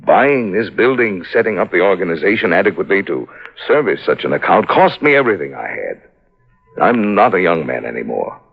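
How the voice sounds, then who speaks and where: A wronged, aging father and businessman in vintage radio drama. His husky, low voice swings widely with indignant, anguished emphasis. The recording is dull and muffled. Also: big pitch swings, faint music behind, full character voice. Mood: indignant, bitter. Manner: melodramatic, emphatic, indignant, halting for effect. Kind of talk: old-time radio melodrama acting.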